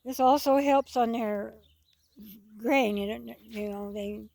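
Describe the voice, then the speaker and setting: A woman's voice: fairly high pitch, slow pace, creaky, a little mellow. A woman giving a casual, rambling outdoor vlog commentary on a farm, folksy and often repeating her phrases. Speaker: farm owner filming own geese and ducks. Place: outdoors on a rural farm, under a tree. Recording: outdoor noise with clicks and birds. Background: geese and bird calls, outdoor noise.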